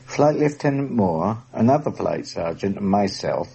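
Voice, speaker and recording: Husky man with expressive, formal delivery. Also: lowish voice, moderate pace, muffled recording. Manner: precise, formal phrasing. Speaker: former prisoner of war giving his recollection. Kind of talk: prepared first-person account in a documentary.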